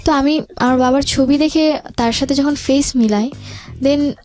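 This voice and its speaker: Interview guest: young woman, Bangladeshi accent, highish voice, hesitant storytelling, English words mixed in, music underneath. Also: steady tone, unhurried, darkish tone. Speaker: guest telling life story. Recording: music bed behind the voice.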